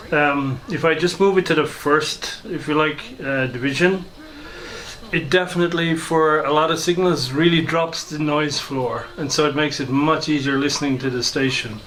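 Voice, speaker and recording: A man giving an informal, hesitant and unhurried demonstration of some hobby gear. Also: fairly high pitch, steady tone, fairly bright tone, low-quality recording. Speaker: hobbyist video maker and shortwave listener.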